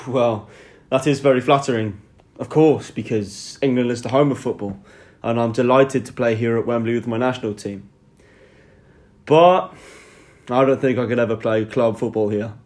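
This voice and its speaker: An actor playing a modest, joking Austrian footballer, answering courteously in a radio drama interview. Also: male voice, mid-range voice, moderate pace, darkish tone, close to an everyday voice. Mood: courteous, wistful.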